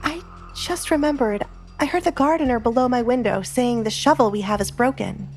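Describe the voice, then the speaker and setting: A spoiled young noblewoman, put on by a female narrator, speaks in a high voice. She is haughty and affected, sulky under false sweetness, in an audio drama over soft music. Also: brisk, lightly acted. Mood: feigned innocence.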